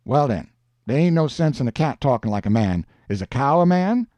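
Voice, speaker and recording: A man acts out a stubborn Southern man from a storybook. He argues in emphatic, indignant dialect with very animated pitch. The tone is mellow and the sound is close and dry. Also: fairly quick, no echo, natural voice. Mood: indignant triumph. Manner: emphatic, argumentative, dialect speech.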